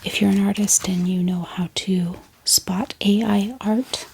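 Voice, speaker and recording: A woman speaking in a soft, breathy ASMR whisper, gentle and unhurried, like a book vlogger showing off her collection. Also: mid-range voice, steady tone, slight background noise.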